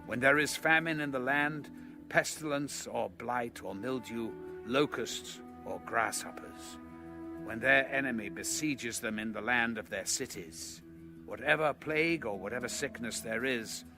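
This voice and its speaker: A man reads scripture as an audio narrator, in a mid-pitched voice with clear music playing behind him. His delivery is measured and solemn, following a formal script.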